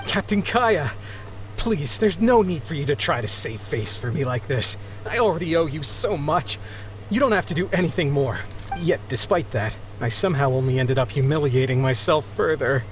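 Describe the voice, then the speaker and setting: A man's voice: fairly high pitch, expressive, normal speed, slight background noise, natural voice. An actor playing an embarrassed, grateful married sea captain in a video game, flustered and apologetic as he talks. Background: background music.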